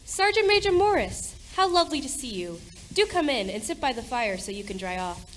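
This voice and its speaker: A proper, fretful mother and hostess acted by a woman in an amateur radio drama. Her voice is on the high side and very animated, with genteel, theatrical delivery over a faint hiss. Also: brisk. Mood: polite. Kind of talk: amateur radio play acting.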